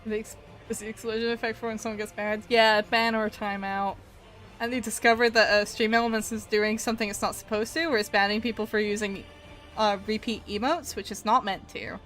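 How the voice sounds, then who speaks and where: A woman's voice: medium pitch, fairly even intonation, a little fast, a bit bright, rough recording. Woman, 20s, Scottish-Canadian accent, giggly casual streamer chatting over faint music. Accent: mixed Scottish and Canadian. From Scotland. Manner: chatty, laughs often, answers chat aloud. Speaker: art streamer. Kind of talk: casual live-stream chat while drawing.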